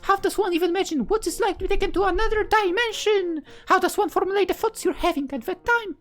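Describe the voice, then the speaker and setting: A man's voice: very high-pitched, fast talker, a bit bright, voice pushed up. A man voicing a bubbly, teasing anime schoolgirl love interest in a visual novel, chirpy and theatrical. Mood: explaining.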